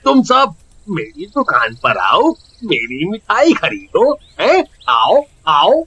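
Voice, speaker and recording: A man voicing a scheming, jealous village shopkeeper in a cartoon, with a whining, exaggerated, drawn-out delivery. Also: high voice, huge pitch swings, bright, forceful tone, slightly rough, exaggerated voice. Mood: hawking. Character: scheming, jealous village sweet-seller, henpecked husband. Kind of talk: Hindi cartoon voice acting.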